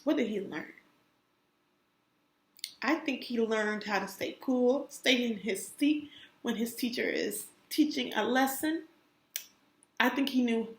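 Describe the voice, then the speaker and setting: A woman kindergarten teacher reading aloud to young children in an expressive, encouraging way, stopping to ask them questions. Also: fairly high pitch. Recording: roomy, echoey sound.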